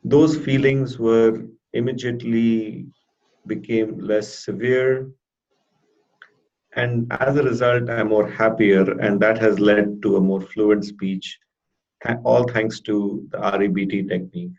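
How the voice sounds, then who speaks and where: A man with a stutter giving a halting, deliberate personal testimonial as a therapy client in an interview. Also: fairly low pitch, steady tone, darkish tone. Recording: dull lo-fi audio.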